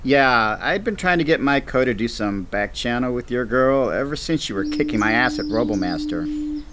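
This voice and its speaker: A man's voice: mid-range voice, animated, moderate pace, very noisy, natural voice. An actor playing an easygoing, teasing male space station commander with a casual, wry manner in an audio drama. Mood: playful, fond.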